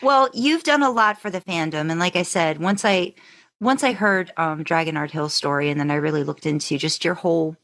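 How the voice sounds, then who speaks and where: A woman's voice: fairly low pitch, a little fast, fairly bright tone. A woman hosting a podcast in a casual, conversational way, effusive and chatty with rambling asides. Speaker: podcast host. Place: remote video call.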